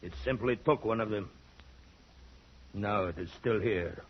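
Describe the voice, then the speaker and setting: Intense young villager for gothic radio play: husky, scornful, brooding, mid-pitched, on a muffled old recording. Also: male voice. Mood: bitter, ominous. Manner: intense, defiant, halting when brooding. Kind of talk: old-time radio drama acting.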